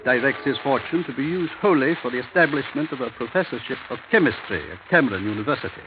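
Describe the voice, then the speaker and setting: Tinny, noisy vintage radio sound carries a fussy older family lawyer, played by a man. His delivery swoops and varies, formal yet avuncular. He mutters small hesitations between brisk, businesslike phrases. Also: medium pitch, animated, natural voice. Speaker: actor in a radio drama. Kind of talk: old-time radio drama acting.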